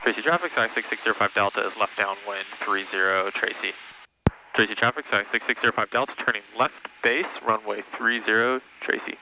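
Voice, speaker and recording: A man's voice: lowish voice, steady tone, brisk, gravelly. A man working as a private pilot, making clipped radio calls and readbacks from a small airplane cockpit on a headset. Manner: clipped phraseology. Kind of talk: radio position calls and readbacks to air traffic control. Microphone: aviation headset. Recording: noisy cockpit radio with engine drone.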